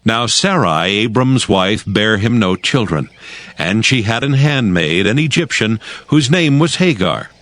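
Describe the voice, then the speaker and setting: A man narrating scripture from a script in a solemn, measured manner. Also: on the low side, lively intonation, a bit bright, dry recording.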